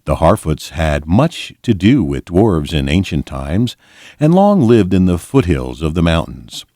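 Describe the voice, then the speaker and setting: A man reading a storybook aloud as narration, in a measured, expressive storytelling manner. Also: deep voice, no room sound, studio quality. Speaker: volunteer reader.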